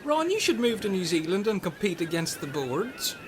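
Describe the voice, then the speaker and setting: A man doing a high-pitched dim-witted islander. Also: very animated, moderate pace. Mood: naive. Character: high-voiced, naive, dim male islander. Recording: noisy bird and ambience sounds behind.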